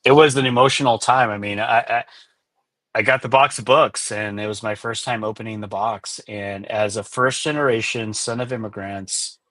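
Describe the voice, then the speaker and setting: A low-pitched man whose first language is Spanish, speaking as a guest author in a webinar interview. He talks reflectively and earnestly, with soft affirming murmurs. The sound is dry and close. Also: fairly even intonation, moderate pace, no room sound.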